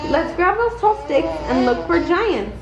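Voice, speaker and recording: A woman's voice: extremely high pitch, sing-song, unhurried, dull audio, voice pushed up, heavily acted. A woman voicing an adventurous, eager young boy in a children's storybook. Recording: roomy echo, faint background noise.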